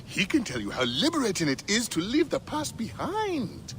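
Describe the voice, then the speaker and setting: An actor playing a grandiose, zealous town builder of ancient Egypt in a video game, proclaiming in a theatrical, expansive way. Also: male voice, sits high, huge pitch swings, moderate pace, fairly bright tone, a little hiss or hum, heavily acted. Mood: effusive, welcoming. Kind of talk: video game drama acting.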